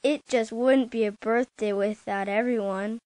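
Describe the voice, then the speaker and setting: Lady doing an eager little cartoon boy, high voice, takes his time, swoopy, storybook game. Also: steady tone, a little slow, darkish tone, no room sound, lightly acted. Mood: warm, sincere. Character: eager, friendly young cartoon schoolboy planning a party. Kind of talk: animated children's storybook game acting.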